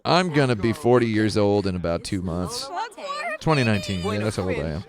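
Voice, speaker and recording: A man in his late thirties co-hosting a game stream, spinning absurd jokes in a deadpan way over casual chat. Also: on the low side, fairly quick, a crowd in the background. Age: 30s. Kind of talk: casual joking chat while playing. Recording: music playing behind.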